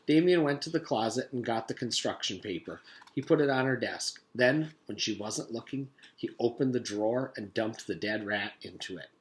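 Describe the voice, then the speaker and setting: A man's voice: mid-range voice, expressive, moderate pace. A man reading a children's story aloud as a teacher would to pupils, in storybook narration.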